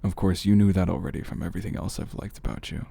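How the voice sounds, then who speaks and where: A man performs a confident, flirtatious big brother in an intimate ASMR roleplay. His voice is deep and dark with a crackly fry. He talks in a teasing, familiar way, like someone close by. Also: steady tone, full character voice. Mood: flirtatious, reassuring.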